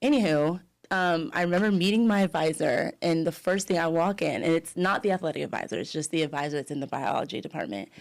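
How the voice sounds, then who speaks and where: This woman from the Dallas area speaks in a low register with a gravelly edge. She talks as a podcast guest in an easygoing, chatty way, packing her stories with fillers like "you know" and "like." She sounds like she's in her thirties. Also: fast, few pauses. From Dallas-Fort Worth area. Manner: lively storyteller, frequent laughs and fillers. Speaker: guest dentist. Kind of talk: casual podcast chat.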